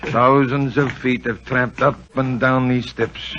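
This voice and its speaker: A man's voice: mid-range voice, little pitch movement, lightly acted. Uneasy, hesitant hotel owner, voiced by a man; lo-fi vintage radio. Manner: halting, fills pauses with hesitations. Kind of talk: 1940s radio acting. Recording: dull old radio recording with hiss.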